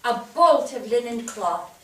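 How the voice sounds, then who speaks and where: Far off in an echoey room, a woman plays a gentle, inquisitive listener. Her high voice lilts widely as she draws out a story in a play reading. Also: animated, a little slow. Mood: curious. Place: theatre stage. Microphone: distant microphone. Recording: distant, echoey, noisy stage pickup.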